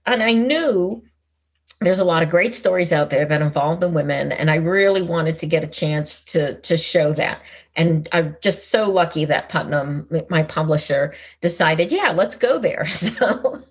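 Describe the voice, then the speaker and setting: A woman's voice: sits low, animated, a little slow, husky. A middle-aged woman, an author and former intelligence officer, talking casually in an interview with frequent restarts. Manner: conversational, frequent restarts, laughs easily.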